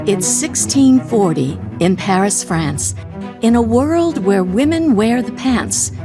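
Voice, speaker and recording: A woman's voice: medium pitch, lively intonation, takes it slowly. A woman playing the storytelling narrator of a period comedy radio play, reading scripted narration. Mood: scene-setting. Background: music.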